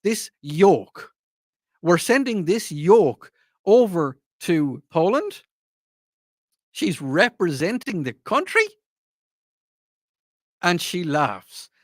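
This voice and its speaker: A man's voice: high voice, very animated, drawn-out words, long pauses, no echo, clean studio sound. An Irish man hosting a talk radio show, rambling and repeating himself with a mocking tone and frequent laughs. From Ireland. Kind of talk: casual talk radio monologue.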